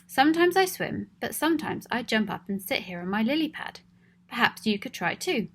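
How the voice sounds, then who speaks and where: A woman voicing a cheerful, helpful frog who speaks in a bright, friendly way. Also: on the high side, very animated, moderate pace, husky, full character voice.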